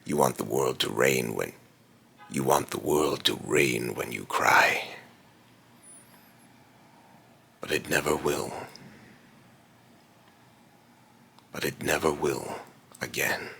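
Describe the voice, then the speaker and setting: A man voicing an ominous immortal being in a theatrical villain monologue, grave and condescending, with solemn, grandiose phrasing and a gravelly, breathy rasp. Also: a bass voice, measured pace, bright, forceful tone, a little hiss or hum, heavily acted. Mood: cold, mournful. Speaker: voice actor.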